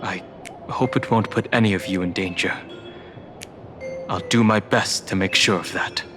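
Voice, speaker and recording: An actor playing a reserved, loyal, protective companion in a video game, serious and sparing with words. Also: male voice, sits low, moderate pace, over music, close to an everyday voice. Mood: resolute. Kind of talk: video game character dialogue.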